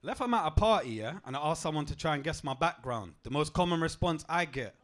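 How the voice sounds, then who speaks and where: Deep-voiced stand-up comic man. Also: animated, fast talker, fairly bright tone, slight background noise. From England. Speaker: stand-up comedian. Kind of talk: stand-up comedy set. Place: comedy club stage.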